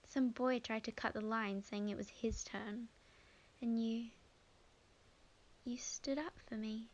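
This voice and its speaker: Casting: actress as sweet young witch, high voice, unhurried halting delivery, expressive ASMR audio drama. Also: dull audio. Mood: playful, nostalgic. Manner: halting, expressive, talks to herself. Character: young witch woman, flustered, sweet, chatty, fond of friend.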